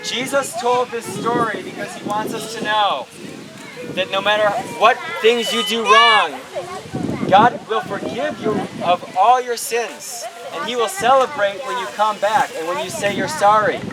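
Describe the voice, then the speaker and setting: A woman leading a children's lesson outdoors in simple questions and answers, repeating and affirming what they say. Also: mid-range voice. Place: outdoors at a public children's event. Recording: loud outdoor crowd and traffic din. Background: crowd chatter and vehicle noise.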